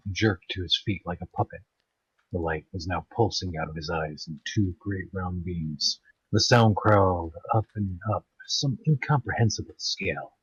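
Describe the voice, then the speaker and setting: Husky low-voiced man reading a story. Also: no room sound, highs cut off. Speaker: narrator of online story readings. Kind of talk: amateur dramatic story narration.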